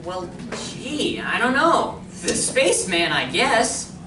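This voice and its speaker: A woman's voice: fairly high pitch, very animated, takes it slowly. A woman acting an honest, chatty young boy in a stage radio drama, with a folksy, hesitant manner. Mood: thoughtful. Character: eager, honest, chatty schoolboy. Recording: echoey, distant, noisy live room.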